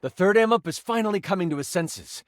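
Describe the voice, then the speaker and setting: A man's voice: sits high, lively intonation, fast talker, dry recording, studio quality. A man playing a playful game master, narrating a fantasy tabletop adventure in a theatrical, dramatic storytelling style. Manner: theatrical, second-person storytelling.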